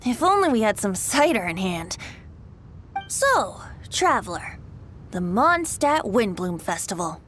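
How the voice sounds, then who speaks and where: A woman's voice: medium pitch, sing-song, a little slow, fairly bright tone, slight background noise. A woman voicing a carefree, teasing young male bard in a video game, light and boyish with a lilting delivery. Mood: breezy, wistful. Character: playful, carefree youthful male bard, secretly wise. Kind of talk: video game character acting.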